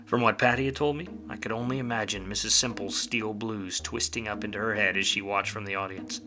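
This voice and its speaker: An actor playing a hardboiled, wisecracking private detective in a 1940s film noir radio play, wry and slangy. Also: male voice, fairly low pitch, steady tone, fairly quick, music in the background, natural voice. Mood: wry, weary.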